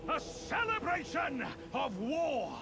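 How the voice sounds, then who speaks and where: A man voicing a grandiose festival herald in a video game, proclaiming battle to champions in a drawn-out declaiming style. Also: very high-pitched, very animated, gravelly, noisy background, heavily acted. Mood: rousing, proclamatory. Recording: loud music over the voice.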